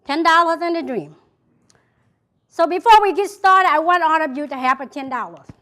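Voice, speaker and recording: High-voiced Vietnamese-accented woman speaker. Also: moderate pace, sharp tone. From South Vietnam. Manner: emphatic, repeats key phrases, addresses listeners by name. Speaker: motivational speaker. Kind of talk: motivational speech to a live audience. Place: event hall before an audience.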